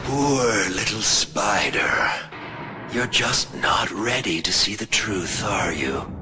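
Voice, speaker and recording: An actor playing a deranged killer turned monster in a video game, menacing and taunting, moving between whispers and shouts. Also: male voice, lowish voice, expressive, takes it slowly, loud music behind the voice. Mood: taunting, fanatical. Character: deranged serial killer turned symbiote monster, preaching chaos and death. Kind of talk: video game villain voice acting.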